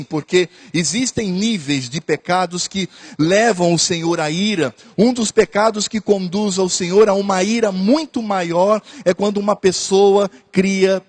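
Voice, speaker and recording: Pastor, male, high-pitched, earnest sermon delivery with repeated lines. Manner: earnest preaching, repeats phrases, pauses with hesitations.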